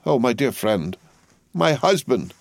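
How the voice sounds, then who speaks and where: A man's voice: medium pitch, big pitch swings, slow pace, vocal fry, no echo, voice pushed up, full character voice. A male narrator puts on a young wife in a classic novel, frightened and imploring. The voice is lifted well up, with panting, pleading delivery in a dry recording. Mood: distraught. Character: distraught, imploring young wife, devoted and fearful.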